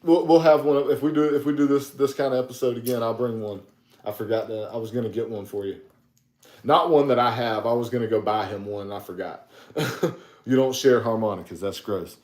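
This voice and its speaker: A man's voice: medium pitch, fairly quick, darkish tone, echoey room. A man co-hosting a podcast in casual chat, laughing often and stumbling over restarts. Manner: laughs a lot, stammering restarts. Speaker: podcast co-host.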